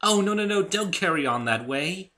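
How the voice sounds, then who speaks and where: A man's voice: high-pitched, animated, moderate pace, sharp tone, voice pushed up. A man voicing an elegant, fussy female unicorn fashionista with a refined English accent, dramatic and gushing, in a story reading. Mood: hurried, reassuring. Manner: dramatic, effusive, addresses people as darling.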